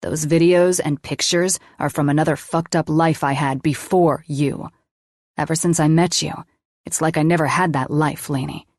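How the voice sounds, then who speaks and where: A swearing, wounded young male doctor, put on by a woman narrator, pushing his voice low and rough as he snaps back. The sound is close and dry, with nothing around it. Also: fairly even intonation, moderate pace, no echo. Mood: pleading, angry. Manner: growling, swearing, lowered voice.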